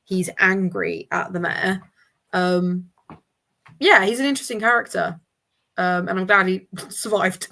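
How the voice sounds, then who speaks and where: A woman's voice: medium pitch, fairly even intonation, a little slow, gravelly, sharp tone. A woman on a book discussion panel, chatting casually and thoughtfully as she works out her opinions.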